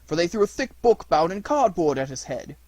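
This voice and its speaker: Man voicing a blunt, animated little old cottager. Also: sits high, brisk, no room sound, pitched above the performer's own voice. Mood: informative. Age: elderly.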